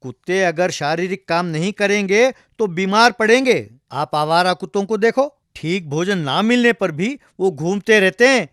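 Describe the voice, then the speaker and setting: A man's voice: high voice, animated, moderate pace, hardly any pauses, fairly bright tone, no room sound, studio quality. A man playing a self-assured village animal doctor who lectures bluntly in a folktale. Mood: lecturing. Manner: lecturing, matter-of-fact.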